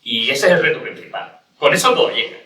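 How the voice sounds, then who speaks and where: Bright-voiced man on a panel, echoey room. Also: on the high side, sing-song, fast, far from the mic. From Cádiz, Spain. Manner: rambling, many restarts and rhetorical questions. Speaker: panel speaker. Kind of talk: panel discussion.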